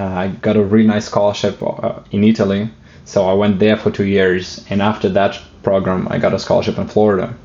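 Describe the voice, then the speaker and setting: A man's voice: fairly low pitch, vocal fry, a little mellow, muffled recording. A man with a Russian accent, a guest in a casual interview, speaking hesitantly with many fillers and restarts and some light joking.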